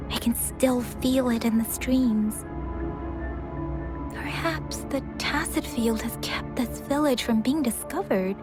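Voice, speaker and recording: An actress playing a gentle, perceptive young female scout in a video game, speaking earnestly and softly. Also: high voice. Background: background music.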